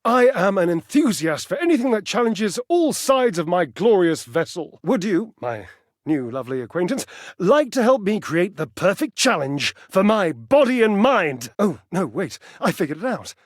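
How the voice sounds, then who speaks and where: A flamboyant male fitness fanatic from a video game, vain and grandly melodramatic. His voice sits a little high and leaps about with great animation. He teases affectionately, lavishing pet names and relishing every florid phrase. The sound is close and dry. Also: sing-song, normal speed, dry recording. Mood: haughty, grandiose. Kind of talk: theatrical video game character acting.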